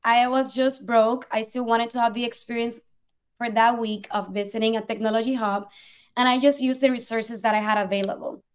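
A woman's voice: on the high side, fairly even intonation, brisk, clear, resonant tone. A young woman from Puerto Rico, a native Spanish speaker with a Puerto Rican accent, earnestly telling her story as an interview guest. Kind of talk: conversational storytelling.

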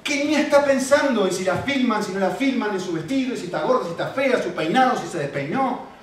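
A man with a Rioplatense Spanish accent preaching a sermon, animated and repetitive, often checking in with questions to the congregation. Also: high voice, fairly quick, slight background noise. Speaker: pastor. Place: church. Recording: very echoey hall, mic at a distance.

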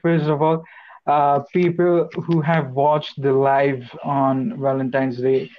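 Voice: mid-range voice, level tone, takes it slowly, lo-fi sound. A co-host of a live online show, introducing a guest with effusive praise and many fillers, over a video call.

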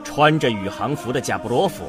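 A man rehearsing as a voice actor, haltingly repeating lines in an outtake. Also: medium pitch, lively intonation. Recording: music under the voice.

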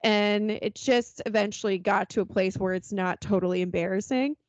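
A relaxed, chatty woman hosts a podcast and laughs easily. Her voice is recorded dry, with a slightly dull, lo-fi sound. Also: mid-range voice, normal speed, no room sound. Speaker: podcast host. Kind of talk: casual podcast chat.

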